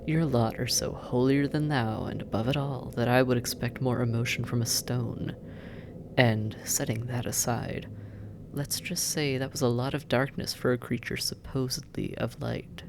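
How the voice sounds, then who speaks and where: A performer in a roleplay audio drama voicing a sardonic, teasing demon captor who drawls and murmurs with heavy pauses. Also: mid-range voice, noisy background, natural voice. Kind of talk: scripted roleplay audio drama, intimate second-person address.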